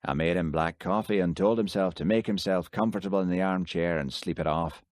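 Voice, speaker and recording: A man's voice: sits low, a little fast, a little mellow, dry recording, studio quality, close to an everyday voice. A man reading an audiobook, voicing a village neighbour who reports events in a matter-of-fact way. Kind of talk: audiobook character voice.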